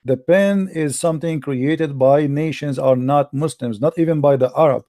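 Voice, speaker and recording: A man's voice: on the high side, normal speed, dark tone. A middle-aged man with an Arabic accent, a native Arabic speaker, hosting a heated religious debate and pressing his points with repeated phrases. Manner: insistent, lecturing, repeats points. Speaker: host.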